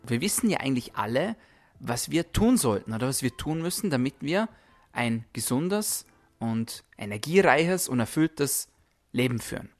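A man's voice: medium pitch, very animated, moderate pace, faint music behind. Emphatic man hosting a motivational podcast. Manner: emphatic, rhetorical questions and repetition. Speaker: podcast host, health coach.

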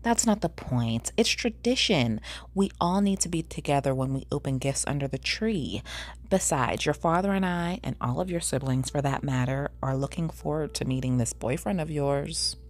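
A woman's voice: low voice, expressive, normal speed. A woman voicing a middle-aged mother in an audiobook, chatty, blunt and insistent. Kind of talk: audiobook character voice.

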